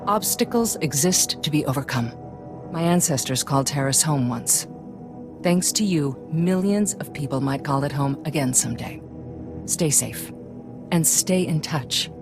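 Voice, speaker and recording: Low-voiced, resolute project leader. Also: female voice, expressive, unhurried. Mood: determined. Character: resolute, authoritative female Republic project leader. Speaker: voice actor in a video game. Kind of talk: scripted game cutscene dialogue. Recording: loud music under the dialogue.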